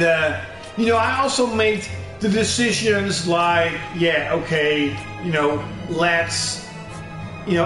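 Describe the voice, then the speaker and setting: A young Dutch man talks in a strong Dutch accent, his voice sitting high and his delivery slow and unhurried. He rambles and restarts, dropping fillers and the odd curse. The room is echoey, with music playing clearly beneath him. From Netherlands. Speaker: gaming channel host. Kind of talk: casual personal monologue. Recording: roomy echo with music underneath.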